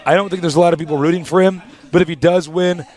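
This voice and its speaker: A man's voice: a little high, fairly even intonation, fast, darkish tone. A young man doing live esports play-by-play in a packed studio, hyped, joking and announcer-like. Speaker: esports commentator.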